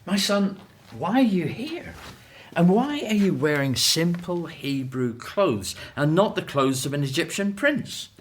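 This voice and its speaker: A man playing a cautious, devout older Hebrew slave father with guarded but expressive delivery in a scripted radio drama. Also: fairly high pitch, measured pace, slight background noise. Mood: astonished, moved.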